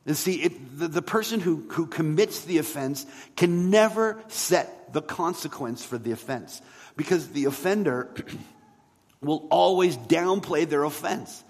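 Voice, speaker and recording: A man preaching a sermon as a pastor, in a conversational way with rhetorical questions and emphatic pauses. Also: fairly high pitch, expressive. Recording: long hall reverb.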